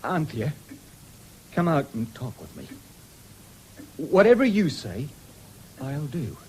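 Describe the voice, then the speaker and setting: A man's voice: a little high, very animated, slow pace, a little mellow, breathy edge, exaggerated voice, low-quality recording. A man playing a guarded, intense young farmer in a radio drama. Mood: defiant. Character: moody, hesitant young farmer pressed to enlist. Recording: 1970s radio broadcast with background noise.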